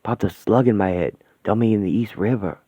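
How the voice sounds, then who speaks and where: A man voicing a rough, streetwise ghost father who speaks gruffly and tenderly. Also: lowish voice, a little fast, no echo, voice pushed down. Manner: gruff street talk, soft tender delivery. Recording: dry tinny phone-like sound.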